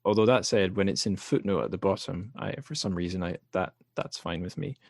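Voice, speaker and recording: Deep-voiced man, dry close sound. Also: fairly quick, creaky, no room sound. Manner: relaxed, wry, trails off quietly at sentence ends. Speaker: podcast host. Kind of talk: conversational interview.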